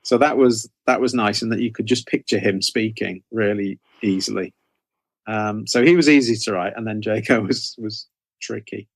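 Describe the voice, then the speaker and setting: A man's voice: lowish voice, moderate pace, no echo. A middle-aged man chatting casually as an interview guest, informal and often hesitantly rephrasing himself. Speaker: guest author interviewed about writing career.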